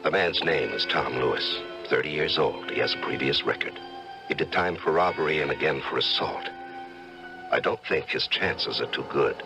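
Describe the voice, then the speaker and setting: An actor playing a coolly vain, secretly murderous judge and husband in an old-time radio drama, measured and urbane. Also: male voice, very deep voice, vocal fry, music in the background. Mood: coldly self-satisfied. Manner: measured, urbane, condescending to his wife.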